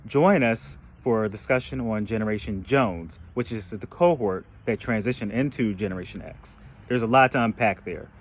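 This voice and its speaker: A man's voice: lowish voice, moderate pace. A man in his late thirties hosting a panel discussion in a conversational way. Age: late 30s. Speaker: host of a generational discussion show.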